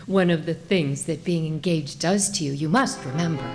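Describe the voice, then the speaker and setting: A woman's voice: sits low, normal speed, over music. A woman reading a comic short story aloud as its narrator, in a scripted storytelling style.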